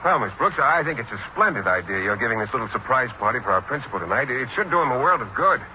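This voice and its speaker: An actor playing an earnest, oblivious American academic, hesitant and a little stiff, in 1940s radio comedy style. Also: male voice, medium pitch, sing-song, fast, bright, forceful tone. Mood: earnest, bashful. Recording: muffled, hissy 1940s radio recording.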